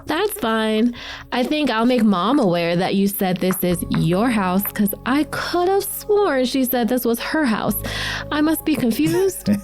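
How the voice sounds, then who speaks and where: A woman's voice: on the high side, lively intonation, unhurried, a little mellow, faint music behind. A woman playing a cheeky, persuasive teenage daughter in a conversational audio drama. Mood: teasing. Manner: teasing, wordy, coaxing.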